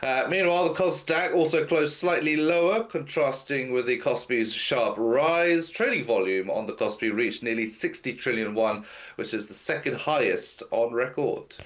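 A man's voice: medium pitch, moderate pace. Congested man briefing the news. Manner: steady informative delivery with frequent uh fillers. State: blocked nose. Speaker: news commentator. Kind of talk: news briefing over video call. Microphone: video call.

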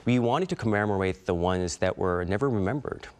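Man, very low voice, slight Korean accent, thoughtful interview guest, many fillers. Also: fairly quick, a little mellow, clean tone. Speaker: actor and producer guest. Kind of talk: interview conversation.

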